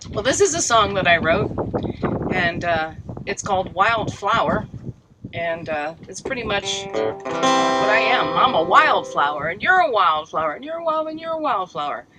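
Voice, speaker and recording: A woman's voice: medium pitch, expressive, normal speed, poor audio. A woman singer-songwriter, chatting and singing her own songs outdoors in a park while playing guitar. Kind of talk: singing original songs with chat. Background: loud acoustic guitar.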